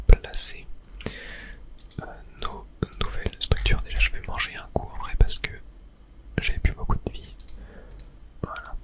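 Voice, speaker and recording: Whispering ASMR gamer thinking aloud. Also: fairly bright tone. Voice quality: breathy, unvoiced whisper. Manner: casual, muttered thinking aloud with slangy asides. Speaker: video game streamer narrating own play. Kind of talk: whispered ASMR gameplay commentary.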